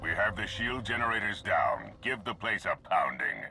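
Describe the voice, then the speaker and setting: A man's voice: fairly low pitch, sing-song, moderate pace. A man playing an urgent soldier calling in from a battle. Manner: urgent, shouted over battle. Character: urgent male soldier on comms. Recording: distant voice under loud music and gunfire.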